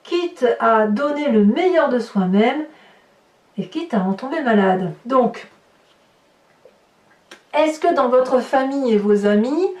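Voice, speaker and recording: A woman with a fairly high voice talks a viewer through a tarot spread, improvising as she goes. She explains each point and restates it for emphasis. The sound is very roomy, with her voice some way from the microphone. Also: darkish tone. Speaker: tarot reader. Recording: roomy echo, distant from the mic.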